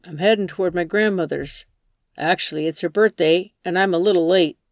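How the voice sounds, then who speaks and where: A woman's voice: on the low side, a little fast, no echo, studio quality, lightly acted. A woman narrator voicing a casual male driver who talks in a reassuring way, in an audiobook. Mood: cocky, casual. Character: easygoing male driver, cocky, turning nervous.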